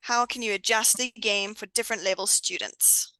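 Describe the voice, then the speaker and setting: A woman's voice: mid-range voice, fairly even intonation, moderate pace, sharp tone, no room sound, poor audio. A woman from Zimbabwe moderating a group chat among teachers as the host.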